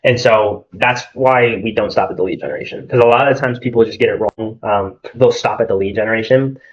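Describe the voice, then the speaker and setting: A man's voice: lowish voice, a little fast, lo-fi sound, slight background noise. A young man from Arizona running a sales call, casual and filled with "like" and "at the end of the day". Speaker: marketing agency salesman.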